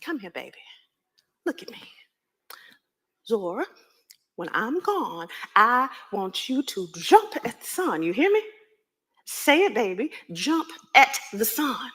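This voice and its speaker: A woman's voice: fairly high pitch, big pitch swings, takes it slowly, a bit bright, slightly rough, full character voice. A woman voicing a loving, protective mother who is frail and dying, speaking tenderly and pleadingly to her child.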